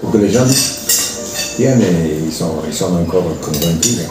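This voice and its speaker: An older man from Argentina, a priest being interviewed, who speaks calmly and earnestly and often hesitates and repeats words. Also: deep voice, normal speed. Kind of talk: relaxed interview conversation. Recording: echoey, from a distance, with music behind.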